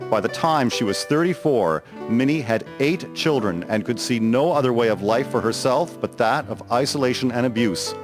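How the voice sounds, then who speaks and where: A man narrates a dramatic historical tale from a script. His voice sits at an average pitch, and music plays clearly behind him. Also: expressive, normal speed, few pauses.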